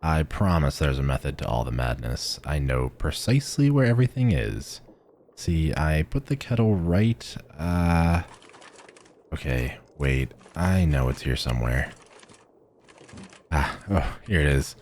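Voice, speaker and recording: A man's voice: very deep voice, very animated, unhurried, darkish tone, slight background noise, full character voice. A man playing a friendly, eccentric village alchemist shopkeeper in a fantasy audio roleplay, chatting eagerly to the listener with musing hums. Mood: friendly, inviting.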